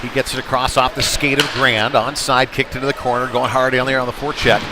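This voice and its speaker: A man's voice: mid-range voice, little pitch movement, quick pace, background noise. A man calling live hockey play-by-play in clipped present-tense phrases that build with the action. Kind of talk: live sports commentary.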